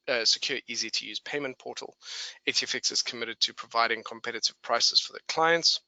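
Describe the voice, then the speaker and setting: A man with a blended English and South African accent hosts a live instructional webinar. His voice is strikingly bright and crisp-edged at a middling pitch, recorded dry with little room sound. He explains things conversationally, speaking straight to his listeners. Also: dry recording, low-quality recording. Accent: mixed English and South African. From England and South Africa. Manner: chatty, explanatory, addresses listeners directly. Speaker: webinar presenter and trader.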